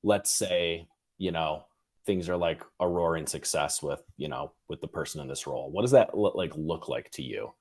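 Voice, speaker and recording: A man with a low-pitched voice hosts an interview. He talks casually and haltingly, building his thoughts aloud in short fragments. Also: a little mellow. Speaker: interview host.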